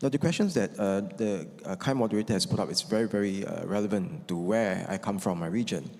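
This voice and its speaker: A man from Singapore speaking as a conference panelist, laying out numbered points in a measured way with some fillers. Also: mid-range voice, a little mellow. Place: conference hall. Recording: reverberant conference hall.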